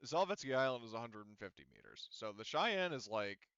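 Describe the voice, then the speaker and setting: A man runs a tabletop game, explaining things loosely with plenty of fillers and restarts. His voice is smooth and clear, close and dry. The recording sounds a little dull. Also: medium pitch, big pitch swings, brisk, a bit bright, no room sound. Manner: rambling, filler-heavy explaining. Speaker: game master. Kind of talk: casual tabletop game narration.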